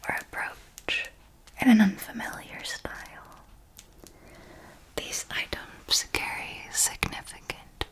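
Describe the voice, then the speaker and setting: A woman plays an elegant female vampire mentor in an ASMR roleplay. She whispers throughout in a breathy hush, speaking very slowly and deliberately. Her manner is refined, patient and knowing, like a teacher guiding a pupil. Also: medium pitch. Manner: slow, deliberate, refined, instructive.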